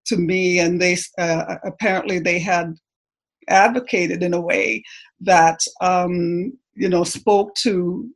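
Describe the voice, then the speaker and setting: A middle-aged woman from Canada with no accent, giving a personal testimony in church, hesitant and full of pauses and ums. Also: lowish voice, steady tone. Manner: hesitant, halting, many ums.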